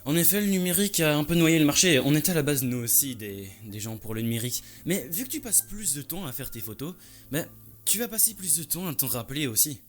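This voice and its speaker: A man's voice: on the high side, animated, fast, faint music behind, lightly acted. A man playing a passionate, touchy lynx who owns a photo lab, talking in an informal, slangy way in an audio story. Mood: persuasive. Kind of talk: French audio story dialogue.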